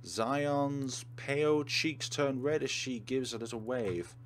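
A man's voice: mid-range voice, slow pace, a little hiss or hum. A man hosting a let's play, reading game narration aloud and adding casual commentary.

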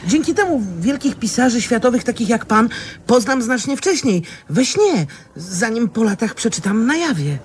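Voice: medium pitch, very animated, moderate pace, a little hiss or hum, natural voice. A performer playing a polite, earnest, bookish young boy in a radio play.

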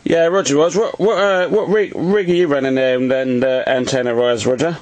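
A man's voice: medium pitch, steady tone, brisk. A man making casual radio contact from home, using jargon and often repeating "Roger". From England. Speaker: amateur CB radio operator filming his contacts. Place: indoors at a home radio set. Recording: faintly noisy phone video beside radio.

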